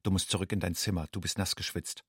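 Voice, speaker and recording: A man's voice: low voice, steady tone, quick pace, background noise, lightly acted. A man playing a haunted, secretive young migrant in a radio play, speaking a literary monologue to himself in terse, inward phrases. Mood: tense, inward.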